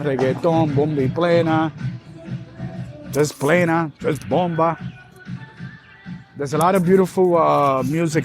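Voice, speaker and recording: A man from Puerto Rico, hosting a podcast in a loose, emphatic, street-casual way at an outdoor street festival. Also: on the high side. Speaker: podcast host. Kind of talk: casual solo podcast monologue. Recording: faint festival music in the background. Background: faint music.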